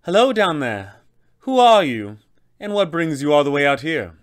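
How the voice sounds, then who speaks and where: A man voicing a haughty, scheming elven lich sorceress in a formal, measured, theatrical manner, for a tabletop game villain. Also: a little high, very animated, voice pushed up. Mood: calling out.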